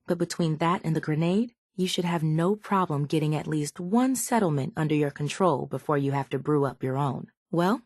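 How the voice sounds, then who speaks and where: An actress playing a sardonic, calculating raider chemist in a video game, speaking in a dry, measured, faintly condescending way. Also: female voice, on the low side, darkish tone, no room sound. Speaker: video game character voice actor. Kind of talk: scripted video game dialogue.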